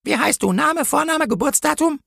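Man putting on a shrill, officious female traffic warden. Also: animated, moderate pace, vocal fry, fairly bright tone, no room sound, clean studio sound, full character voice. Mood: officious, sneering. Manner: scolding, interrogating, sneering. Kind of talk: acted character in a comic Christmas story.

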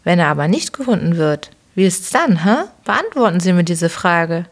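A woman voicing a miserly, whining old man with a sharp, firm tone in an audiobook, firing off querulous questions. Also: on the low side, sing-song, moderate pace. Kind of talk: audiobook character reading.

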